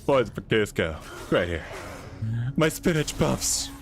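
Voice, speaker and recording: A man voicing a dim, good-natured male henchman, talking slowly and earnestly. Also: medium pitch, big pitch swings, vocal fry, faint music behind.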